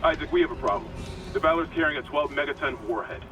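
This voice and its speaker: A man's voice: fairly high pitch, fast talker, far from the mic, music in the background, lightly acted. An actor playing a commanding, plain-spoken male security officer in a video game cutscene. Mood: firm, steadying. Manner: authoritative, plain-spoken, profane.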